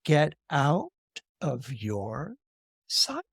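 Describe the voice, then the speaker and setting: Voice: mid-range voice, animated, no room sound. Imperious female deity for oral myth telling, acted out by the storyteller: aloof, stern, commanding, dry recording. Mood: angry. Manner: commanding, slow, pronouncing. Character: imperious wrathful goddess, female, coldly aloof.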